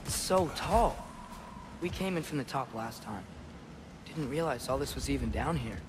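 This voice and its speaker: A man's voice: mid-range voice, expressive, normal speed, background noise, lightly acted. A young man playing an earnest, curious teenage adventurer in a video game. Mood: uncertain. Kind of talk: video game dialogue acting. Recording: music behind the voice.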